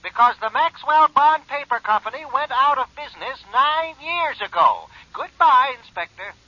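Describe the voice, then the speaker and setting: An actor playing a pedantic handwriting expert on the telephone, in a 1940s radio drama. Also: male voice, extremely high pitch, expressive, normal speed, bright, forceful tone, dry recording, full character voice. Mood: smug. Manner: precise, pedantic, heard over a telephone. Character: fussy male handwriting expert on the phone. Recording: dull old radio recording, dry phone line.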